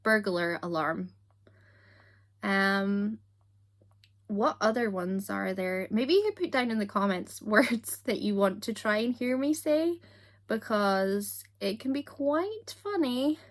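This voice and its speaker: A young woman from Scotland whose accent drifts toward standard British, talking straight to camera in a relaxed vlog. She is chatty and self-deprecating, with a giggly edge. Her pitch sits mid-range for a woman. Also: slow pace. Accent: Scottish, softened toward standard British. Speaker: YouTuber. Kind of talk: casual chat to camera.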